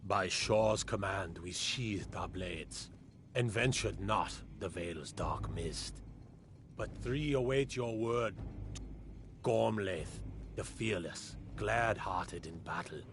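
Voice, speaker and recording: An actor playing a solemn, stately ancient Nordic hero in a fantasy game, speaking in grave, archaic declamation. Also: male voice, sits low, slow pace. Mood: solemn welcome. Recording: clear background ambience noise.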